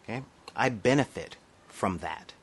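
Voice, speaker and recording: Male vlogger, nasal timbre, somewhat low voice, forceful rhetorical delivery straight to camera. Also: animated, measured pace, a little hiss or hum. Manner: emphatic, rhetorical asides, frequent "all right?". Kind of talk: impassioned monologue to camera.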